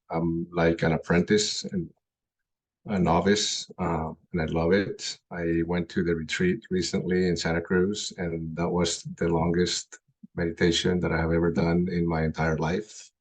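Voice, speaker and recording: Low, husky, flat-voiced man speaking haltingly. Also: dull audio. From Colombia. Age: middle-aged. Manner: halting, many ums and restarts. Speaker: guest speaker. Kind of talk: personal testimony talk.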